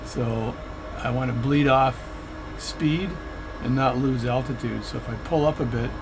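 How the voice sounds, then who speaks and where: A man's voice: mid-range voice, steady tone, a little slow, noisy background. Guy rambling casually through a flight sim run, keeps correcting himself, ac humming behind. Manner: rambling, self-correcting, chatty. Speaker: flight sim video creator. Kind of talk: casual narration while playing a flight sim.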